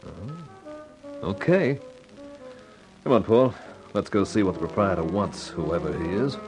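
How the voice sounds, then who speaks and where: Brief: hardboiled wisecracker, lowish voice, wry and blunt, lively delivery for a vintage radio mystery. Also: male voice, measured pace, loud music behind the voice, low-quality recording. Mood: wary. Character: wisecracking, hardboiled American man, brash and suspicious. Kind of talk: 1950s radio mystery acting and narration.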